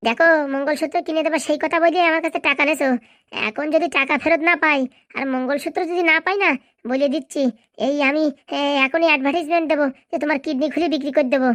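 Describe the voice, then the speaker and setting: Woman playing high-pitched, angry nagging wife. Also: steady tone, fast, fairly bright tone, no room sound. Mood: angry scolding. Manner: scolding, threatening. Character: angry, nagging wife and mother demanding money back. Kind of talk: Bengali comedy cartoon dialogue.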